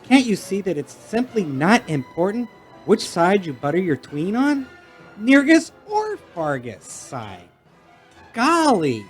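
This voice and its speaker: An actor playing an earnest, wholesome young space hero in a corny, declamatory retro radio serial style. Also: male voice, sits high, huge pitch swings, slow, faint music behind, exaggerated voice. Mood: earnest pleading. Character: earnest, wholesome boyish space hero, gee-whiz good manners.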